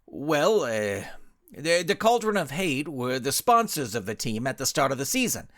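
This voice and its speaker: A man's voice: mid-range voice, big pitch swings, moderate pace, fairly bright tone. Man acting a stammering, nervous soccer coach. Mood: nervous, evasive. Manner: stammering, hesitant. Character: nervous, timid male soccer coach, an ordinary citizen.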